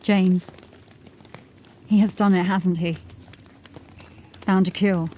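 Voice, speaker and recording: A woman acting a sober, thoughtful city watch officer in a fantasy audio drama, speaking in a measured way. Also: lowish voice, steady tone, warm tone. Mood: concerned.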